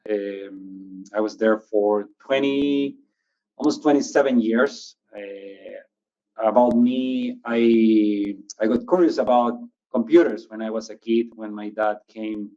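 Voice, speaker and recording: A man speaks in a low, very mellow voice. He gives a casual personal introduction as a panelist, over slightly muffled call audio. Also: slow. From Ecuador. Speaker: panelist, technology executive.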